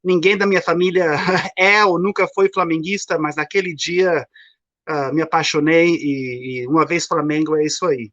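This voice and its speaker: A man's voice: a little high, steady tone, normal speed, a bit bright, no echo, highs cut off. A man, calling into a live show and chatting in a rambling way with many fillers and restarts. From São Paulo, Brazil. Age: 50s. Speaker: caller. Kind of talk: casual chat. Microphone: remote call.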